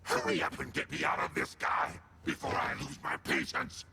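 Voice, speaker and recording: A man's voice: very high-pitched, very animated, normal speed, gravelly, very noisy, full character voice. A man voicing a boastful, menacing possessing spirit in a video game, with grandiose theatrical villain delivery. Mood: wrathful, impatient. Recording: loud music behind the voice.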